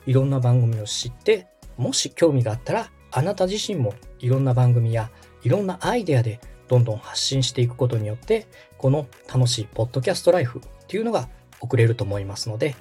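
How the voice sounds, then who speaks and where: A man's voice: medium pitch, very animated, fast talker, mellow, soft background music. A man in his thirties from Osaka, hosting a podcast and politely explaining how podcasting works, with frequent fillers. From Osaka, Japan. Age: 30s. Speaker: podcast host and radio director. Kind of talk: explaining casual chat.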